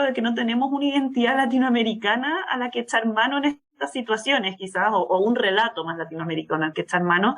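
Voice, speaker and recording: A woman guest on a podcast, speaking in a thoughtful, reflective way, often hedging her points. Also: medium pitch. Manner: thoughtful, hedging with "creo que" and "quizás". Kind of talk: reflective discussion.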